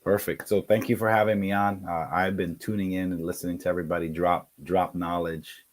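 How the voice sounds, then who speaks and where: A man's voice: deep voice, flat delivery, fairly quick. A man in his forties, a native Spanish speaker, telling his story as a guest on a video call, earnest and full of fillers. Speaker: guest, career center director. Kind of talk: casual conversation.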